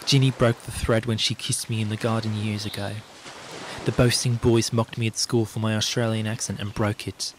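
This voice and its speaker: A man's voice: lowish voice, little pitch movement, normal speed, background noise, close to an everyday voice. An actor playing a wounded, gloomy middle-aged man in a literary radio drama, solemn and poetic. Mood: bitter, mournful.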